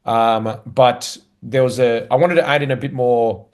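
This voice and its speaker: A man's voice: medium pitch, steady tone, measured pace, darkish tone. A young adult man with an Australian accent, chatting casually as a guest and talking about himself with an easy, self-deprecating humor that breaks into laughs often. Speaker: guest, comedian. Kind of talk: casual conversational interview.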